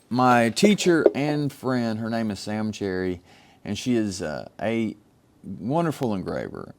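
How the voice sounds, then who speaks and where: A man with a rough, somewhat low voice talks through his engraving gear in a relaxed, rambling way. He wanders and restarts his sentences, filling the gaps with ums. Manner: meandering, with frequent ums and restarts. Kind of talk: casual show-and-tell explanation. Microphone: microphone.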